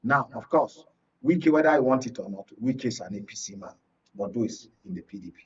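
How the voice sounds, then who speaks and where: A Nigerian man with a medium-pitched, husky voice analyses politics as a guest. He explains haltingly, restarting as he goes. He sounds a little away from the mic, with a muffled tone. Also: lively intonation, a little mellow. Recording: muffled, distant microphone.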